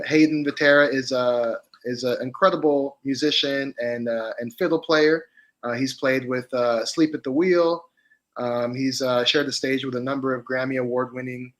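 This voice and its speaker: A man hosting an event and introducing a guest musician, speaking with many pauses and restarts. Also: medium pitch, fairly bright tone. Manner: hesitant, lots of fillers and restarts. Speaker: event host. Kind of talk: introducing a performer.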